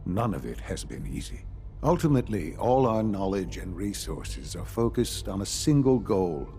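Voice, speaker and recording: A man playing a measured, visionary leader of a science institute in a video game, formal and earnest. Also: on the low side, sing-song, mellow, soft background music. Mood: measured, solemn. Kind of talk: scripted game dialogue.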